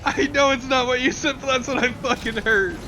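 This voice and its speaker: A man's voice: very high voice, moderate pace, pushed and bright, soft background music, low-quality recording. A man co-commentating a video game in casual, joking, profane banter. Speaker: co-commentator on a let's play. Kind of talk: casual banter over gameplay.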